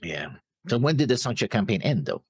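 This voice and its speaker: A man with a low voice talks casually as a co-host, questioning and speculating as he goes. His intonation moves widely up and down. The recording is close and dry. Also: very animated, fairly quick, slightly rough, dry recording. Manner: questioning, thinking aloud. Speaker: reaction show co-host.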